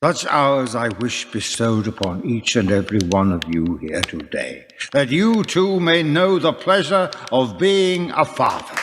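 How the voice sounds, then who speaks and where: Man playing a slow, grand medieval lord. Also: mid-range voice, very animated, noisy background. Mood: grand, proud. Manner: declamatory, grand, measured. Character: proud medieval lord and father, solemn, grandly eloquent. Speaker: actor in a radio play. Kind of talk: theatrical period radio drama in archaic English.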